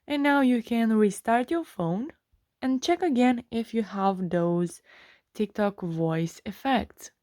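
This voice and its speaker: Woman doing a phone tutorial, highish voice, slow step by step, dry sound. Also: dark tone, dry recording. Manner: instructional, step by step. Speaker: tutorial host. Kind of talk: scripted how-to narration for a phone screen tutorial.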